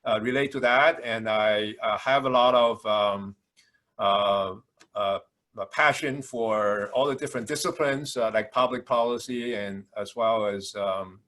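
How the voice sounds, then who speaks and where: Halting older man with a Taiwanese accent. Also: on the low side, a bit bright. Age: 60s. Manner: halting, frequent hesitations and repetitions. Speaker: university administrator introducing himself. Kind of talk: informal introductory speech. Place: video call.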